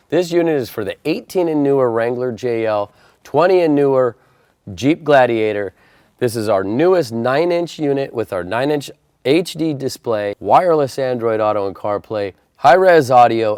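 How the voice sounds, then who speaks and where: A man's voice: mid-range voice, a little mellow, no echo. American man presenting instructions, dry close sound. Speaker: presenter of installation tutorial. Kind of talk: step-by-step instructional narration.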